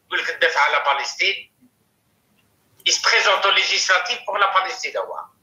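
Algerian guy calling in, talks fast, very bright sharp voice, echoey radio-like line, mocking stories. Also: high-pitched. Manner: mocking storyteller, switching between French and Arabic. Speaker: caller. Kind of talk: casual chat. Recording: echoey radio-like call audio.

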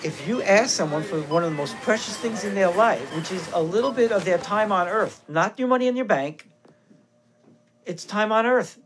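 An older man giving a calm, explanatory documentary interview. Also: high voice, moderate pace, soft background music.

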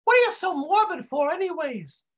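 A man voicing a big, clueless young intern in a high voice, using old-time comic slang in a live story reading. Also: very animated, moderate pace, muffled recording. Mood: confused, earnest. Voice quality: high-pitched. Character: big fat dim-witted male intern kid, innocently clueless.

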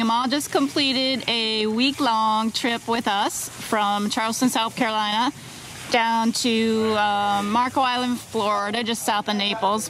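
A woman's voice: a little high, a bit bright, rough recording. A woman hosting a sailing vlog, giving an upbeat, chatty tour and interviewing a crew member outdoors at a marina. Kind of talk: casual vlog narration and tour. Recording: outdoor noise in background.

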